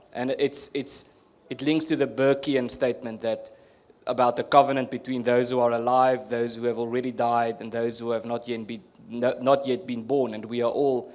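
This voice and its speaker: A man with an Afrikaans accent from South Africa speaks at a panel in a mid-range voice. He explains his points earnestly, often pausing, restarting and adding fillers as he goes. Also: medium pitch. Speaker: guest speaker. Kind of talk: panel discussion answer. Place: conference hall.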